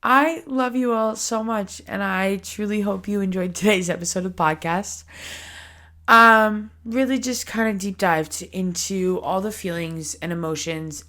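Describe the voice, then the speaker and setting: A woman's voice: mid-range voice, normal speed, a bit bright. A woman in her early twenties hosting a solo podcast, talking candidly and casually with frequent laughs and lots of "like" fillers. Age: early 20s. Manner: chatty, candid, laughs often, many "like" fillers. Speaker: podcast host.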